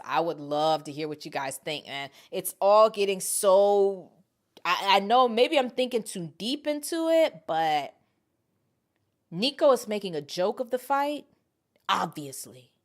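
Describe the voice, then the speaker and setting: Emphatic woman giving casual opinionated commentary. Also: fairly low pitch. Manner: animated retelling, acting out quotes; emphatic, repeats points for emphasis. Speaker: host of an MMA commentary channel.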